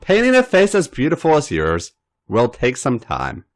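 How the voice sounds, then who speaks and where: A man reading a story aloud and voicing a nervous young woman in a high, shy falsetto. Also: wildly swooping pitch, normal speed. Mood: gentle.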